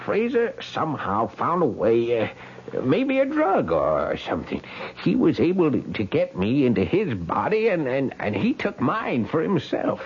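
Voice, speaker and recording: A man's voice: mid-range voice, huge pitch swings, measured pace, breathy edge, heavily acted. An actor playing a panicked young man who stammers, gasps and pleads in a 1970s radio drama. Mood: desperate. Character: panicked young man trapped in an old man's body. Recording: dull, noisy old radio recording.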